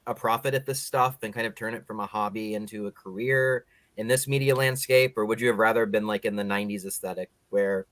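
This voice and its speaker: Male host, slightly deep, conversational and wordy interviewing style, little room echo. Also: steady tone, brisk, fairly bright tone.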